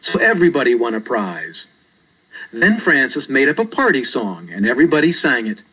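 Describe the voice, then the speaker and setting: A man's voice: mid-range voice, huge pitch swings, normal speed, sharp tone, poor audio. A man reading scripted narration from a children's storybook.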